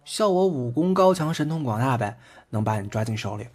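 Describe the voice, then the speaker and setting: An actor playing a teasing, boyish, devoted twenty-year-old boyfriend in intimate audio drama banter, in a colloquial way. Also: male voice, medium pitch, expressive, brisk, darkish tone, close to an everyday voice. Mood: playful. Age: young adult. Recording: background music under the voice.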